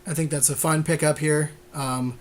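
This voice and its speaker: A man's voice: fairly high pitch, fairly even intonation, normal speed, slight background noise. A man co-hosting a casual card game podcast, talking in an agreeable, conversational way. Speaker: podcast co-host.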